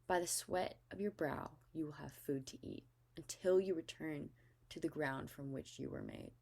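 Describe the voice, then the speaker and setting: A stern, commanding God of scripture, read aloud by a woman, pronouncing with solemn emphasis. The voice is low, with a creaky edge. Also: normal speed, a little mellow. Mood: solemn judgment.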